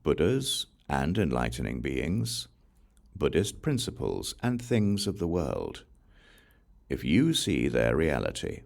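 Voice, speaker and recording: A man with an extremely low voice reads sacred text for an audiobook. His delivery is solemn and slow, set out in deliberate phrases, with lively rises and falls in pitch. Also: vocal fry. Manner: measured, deliberate phrasing with pauses. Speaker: audiobook reader. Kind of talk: solemn scripted reading of scripture.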